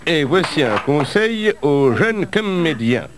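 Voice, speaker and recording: Un homme narre un bêtisier avec ironie, la voix haute et pleine de relief. L'enregistrement est chargé de bruit ambiant. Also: male voice, a little high, sing-song, takes it slowly. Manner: mock-serious, ironic. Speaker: narrator of a blooper show. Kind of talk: jokey voice-over narration. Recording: loud background noise.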